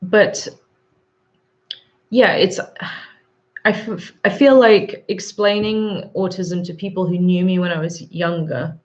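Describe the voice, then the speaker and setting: A woman in her thirties with a sore throat and a husky voice, hosting a live stream, chatting casually and rambling with frequent ums. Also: fairly low pitch, steady tone, slow pace, pauses often, lo-fi sound. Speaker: livestream host. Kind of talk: live chat reading viewer comments.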